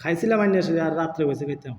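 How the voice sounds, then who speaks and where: A man's voice: a little high, expressive, rapid-fire, a little mellow. A middle-aged man, talking casually with his daughter on a phone call in rambling, mumbled replies. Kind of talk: casual family phone chat. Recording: echoey, muffled phone call.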